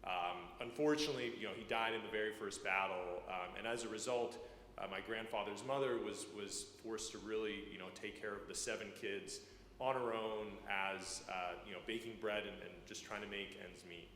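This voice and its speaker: A man in his thirties from Ukraine, speaking as a guest to a church congregation, halting with many fillers and repeated words. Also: fairly low pitch, fairly bright tone. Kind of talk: personal testimony to a church congregation. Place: church sanctuary. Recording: echoey church hall, faint room noise.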